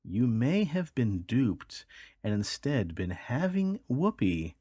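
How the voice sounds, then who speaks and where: Lively, husky-voiced man reading stories. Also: medium pitch, slow pace, no room sound, studio quality. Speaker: podcast host. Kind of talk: reading odd news stories aloud.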